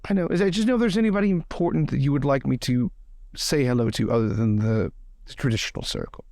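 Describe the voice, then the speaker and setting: A player acting a playful, affectionate gentleman of high society with light, joking politeness. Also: fast talker, gravelly, dry recording, clean studio sound, lightly acted. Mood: playful, teasing.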